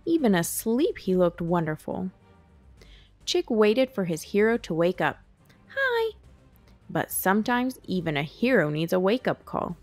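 A woman's voice: fairly low pitch, lively intonation, normal speed, a little mellow, dry recording, faint music behind. A woman hosting a children's read-aloud, narrating a picture book. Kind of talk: scripted storybook narration.

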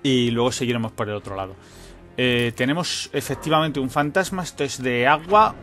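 A man's voice: mid-range voice, a little fast, fairly bright tone, faint music behind. A man giving informal let's-play commentary, thinking aloud as he plays and swearing now and then. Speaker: gaming channel host.

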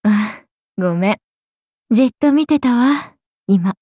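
A woman's voice: expressive, takes it slowly, dark tone, no room sound, studio quality. A woman voicing a playful, teasing serpent-oni goddess for a mobile game, with breezy big-sister airs and a drawling, sake-loving manner. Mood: predatory, teasing. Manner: breezy, drawling, teasing, slipping into low murmurs. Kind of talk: Japanese mobile game character voice lines.

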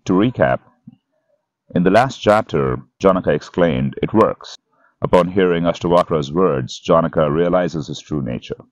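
A middle-aged man reading and introducing spiritual scripture in a serene, measured, solemn manner, as a teacher would. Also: deep voice, dry recording. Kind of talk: slow scripture reading and commentary. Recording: dull, muffled sound under music. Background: instrumental music.

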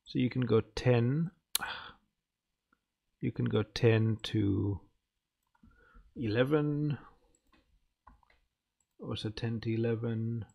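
A man's voice: mid-range voice, slow pace, gravelly, long pauses, dull audio. A man giving casual let's play commentary as a gaming host, muttering and thinking aloud.

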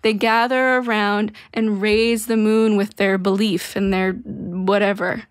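A woman in her thirties co-hosting a casual podcast, animated and playful, quick to laugh. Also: mid-range voice, dry recording. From Pacific Northwest. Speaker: podcast co-host. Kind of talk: casual chat about a short story.

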